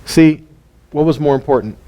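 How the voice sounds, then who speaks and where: Male Bible teacher, a bit high-pitched, lively and chatty, heavy on rhetorical questions. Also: normal speed, muffled recording. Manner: animated, asks rhetorical questions, jokes with listeners. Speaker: teacher of a weekly Torah portion.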